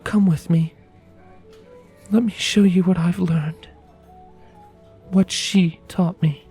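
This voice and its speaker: A woman voicing a wistful, blunt female pony in a dramatised fan fiction reading, speaking softly and deliberately over music. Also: on the low side, fairly even intonation, breathy edge. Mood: wistful, tender.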